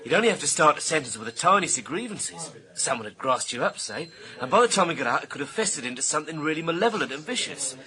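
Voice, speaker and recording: A sardonic British police detective in a radio crime drama. His voice is fairly high for a man and sharp, with a wry, grumbling delivery thick with slang. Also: very animated, quick pace, hardly any pauses. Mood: musing, sceptical. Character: grumbling, sardonic male police colleague of the inspector. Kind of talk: radio thriller acting.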